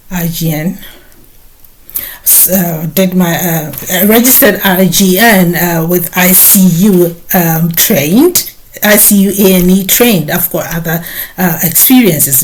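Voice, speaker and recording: A middle-aged woman from Ghana, a nurse hosting her own vlog, talking slowly with many hesitant pauses. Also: fairly low pitch. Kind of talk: personal talk to camera.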